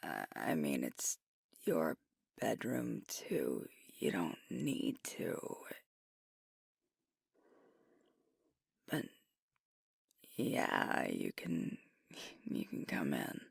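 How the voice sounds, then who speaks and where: Girl doing a werewolf alpha girlfriend asmr, slow n whispery, stressed but affectionate, pitch wanders a lot. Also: wildly swooping pitch, no echo, studio quality, full character voice. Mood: hesitant, tender. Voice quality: breathy, soft, often whispered. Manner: halting, confiding, weary and vexed. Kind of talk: ASMR roleplay acting.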